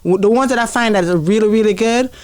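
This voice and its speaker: A woman's voice: medium pitch, fast talker, a little mellow, no room sound. A woman from Jamaica co-hosting an interview, asking curious, prompting questions. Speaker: co-host interviewer. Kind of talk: casual interview.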